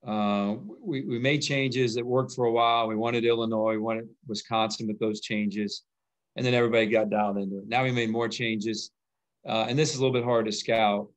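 A middle-aged man, a basketball coach, talking plainly over a video call at a postgame press conference, often restarting his phrases. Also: on the low side. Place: indoors in front of a logo backdrop. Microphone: video call webcam.

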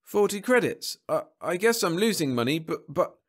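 A man's voice: a little high, expressive, moderate pace, voice pushed up, lightly acted. A man voicing a meek, hapless, henpecked husband with a plaintive, hesitant manner in a science fiction story. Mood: meek, nervous.

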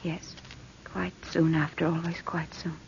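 A woman's voice: low voice, flat delivery, a little slow, vocal fry. An actress playing a fragile, frightened wife who doubts her sanity, in a hesitant, pleading 1940s radio drama style. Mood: fearful. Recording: muffled, noisy old radio recording.

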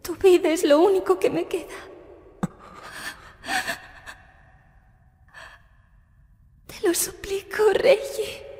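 A woman playing a quiet, devoted young female assassin in an anime fan dub, solemn and measured. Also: very high-pitched. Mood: desperate pleading. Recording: long reverb under background music.

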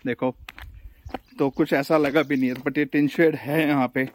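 A man's voice: fairly high pitch, steady tone, moderate pace. A man with an Indian accent chatting casually and enthusiastically as a travel vlogger outdoors, switching between two languages in an informal way. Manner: mixes Hindi and English, informal and enthusiastic. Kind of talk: casual travel vlog chat to camera. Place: outdoors at a hillside viewpoint. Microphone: phone camera.

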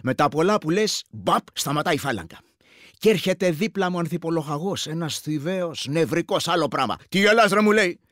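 An earthy war veteran from a village, with a rural Greek accent and a slightly high voice. He recounts combat matter-of-factly, in a chatty radio-drama monologue, and slips into other men's speech. The sound is very dry. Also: expressive, fairly bright tone, no room sound. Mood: wry reminiscing, then stern. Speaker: actor. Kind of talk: radio play monologue, colloquial storytelling.

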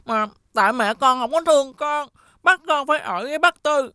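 A woman voicing a hungry young runaway boy in an animated folk tale, childlike and pleading, with a Southern Vietnamese accent. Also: sits high, normal speed, no room sound, studio quality. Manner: pleading, childlike, polite to elders.

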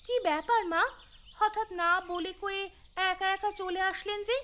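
A woman's voice: very high-pitched, moderate pace, a bit bright, a little hiss or hum. A woman voicing a polite, welcoming younger daughter-in-law in a cartoon story, eager and solicitous. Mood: surprised, welcoming.

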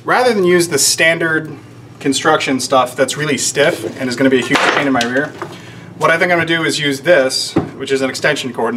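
An American man with a fairly high voice talks through a do-it-yourself job in a casual vlog style. He rambles a little and drops in dry jokes at his own expense. Faint room noise sits behind him. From United States, Georgia. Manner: rambling, wry self-deprecating asides. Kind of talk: casual instructional vlog, explaining to camera. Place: indoors in a shop workroom under renovation. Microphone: camera microphone at about arm's length.

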